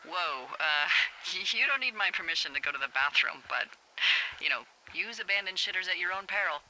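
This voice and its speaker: An actress playing a wry, teasing fire lookout boss in a video game, talking conversationally over a walkie-talkie. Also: female voice, fairly low pitch, animated, a little fast, pushed and bright, low-quality recording. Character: adult woman fire lookout, wry, teasing, candid, bossy. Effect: walkie-talkie radio. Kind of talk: naturalistic video game dialogue.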